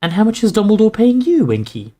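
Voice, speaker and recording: Woman as an earnest, high-voiced young girl. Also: sing-song, normal speed, dark tone. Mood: kind.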